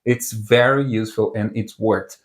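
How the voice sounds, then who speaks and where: A man's voice: on the low side, fairly even intonation, moderate pace. A man from southern Brazil, a native Portuguese speaker with a Brazilian accent, giving a casual online language lesson in rambling English that he often corrects as he goes. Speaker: language teacher.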